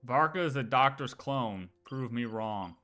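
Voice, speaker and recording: A man's voice: mid-range voice, expressive, measured pace, pushed and bright, faint music behind. A man chatting casually as a livestreamer, slangy and rambling, repeating phrases for emphasis as he speculates. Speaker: livestreamer reacting to game lore. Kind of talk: casual livestream chat, speculating about game story.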